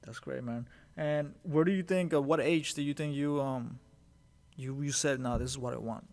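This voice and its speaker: Gravelly male interviewer, halting questions. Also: mid-range voice, a little mellow. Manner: halting, repeats words often, uses slang like dope.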